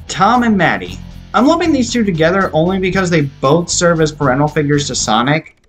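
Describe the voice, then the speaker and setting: A young man with a slightly high voice narrates a scripted film review. He sounds enthusiastic and opinionated, giving his verdicts as a video reviewer, with music playing faintly behind him. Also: fairly bright tone. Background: background music.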